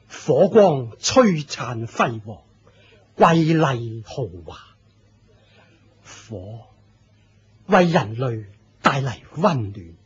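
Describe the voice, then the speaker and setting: An actor playing a proud, fervent leader of a fire cult in a Hong Kong Cantonese radio drama, declaiming with pompous authority. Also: male voice, on the high side, husky, muffled recording, exaggerated voice. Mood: fervent, exalted.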